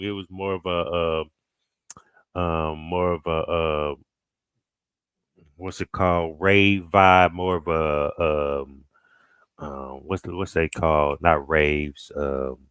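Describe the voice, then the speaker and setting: A man hosting a music reaction, chatting casually in slangy, halting phrases and searching for words. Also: deep voice, fairly bright tone, clear, resonant tone, no echo. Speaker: music reaction video host. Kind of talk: casual, unscripted reaction chat.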